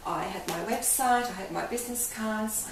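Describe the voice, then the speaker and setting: A woman's voice: fairly high pitch, moderate pace. Older Hungarian-accented woman in an echoey room. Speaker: life coach guest. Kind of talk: candid interview. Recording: echoey room, mic far off, faint hiss.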